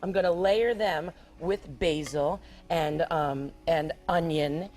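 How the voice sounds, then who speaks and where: Woman, lower pitch, rambling cooking chat, laughs easily, TV kitchen, faint music. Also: slow pace, darkish tone. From Irish background. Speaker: caterer guest cook. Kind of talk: casual cooking demo chat.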